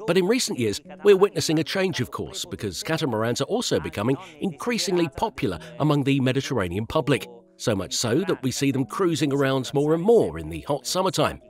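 A man giving an English voice-over translation of a host's questions, in a lively, questioning delivery. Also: mid-range voice, a little fast. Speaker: voice-over interpreter for the host.

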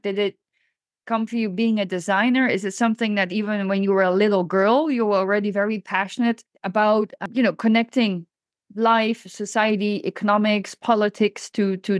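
A woman podcast host speaks in a conversational interviewing style. Her voice sits at a middle pitch, recorded dry with hardly any room sound. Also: no room sound.

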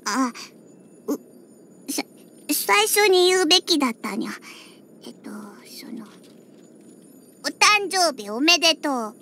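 High, bright, pouty little catgirl. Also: big pitch swings, slow pace, long pauses, heavily acted. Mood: bashful, proud. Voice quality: high, childlike, cutesy voice. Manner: pouty, huffy, with cat-like verbal tics. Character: feisty little catgirl bartender who hates alcohol. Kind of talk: Japanese anime game character acting. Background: background music.

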